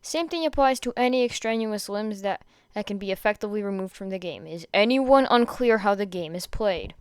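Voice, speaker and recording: A woman's voice: medium pitch, brisk, smooth, no echo, clean studio sound, lightly acted. Woman voicing a weary, drawling male teacher. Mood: dry, matter-of-fact. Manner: drawling, deadpan instruction. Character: weary, droning, dry male combat-class teacher.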